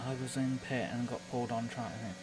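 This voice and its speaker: Even-toned man commentating on sim racing. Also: mid-range voice, fast talker, noisy background. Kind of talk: live play-by-play commentary of a racing game.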